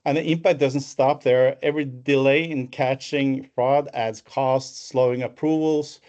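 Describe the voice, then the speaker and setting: A man's voice: medium pitch, fairly even intonation, measured pace, darkish tone. A man in his fifties from Norway with a Norwegian accent, presenting a business webinar from an office over a video call, with many fillers and restarts. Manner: fluent with frequent fillers and restarts. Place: office, alone. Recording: muffled lo-fi call audio.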